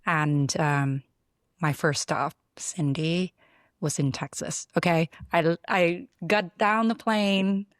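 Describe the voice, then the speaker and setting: A woman from Vietnam, answering interview questions in a reflective, halting, conversational way. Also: on the low side, steady tone, dry recording, studio quality. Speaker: interview guest, product builder and designer.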